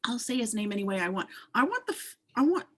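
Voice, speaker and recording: Woman voicing a furious jilted young woman, put-on southern accent, husky, swearing, swooping pitch. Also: quick pace. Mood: angry. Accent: American Southern, put on. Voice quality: husky, swearing, lively delivery. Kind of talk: amateur script read-through.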